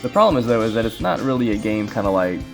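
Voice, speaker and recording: A man's voice: on the low side, big pitch swings, quick pace. A man giving casual, informal speedrun commentary, rambling as he explains the game. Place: voice-over recorded over game footage. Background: game music.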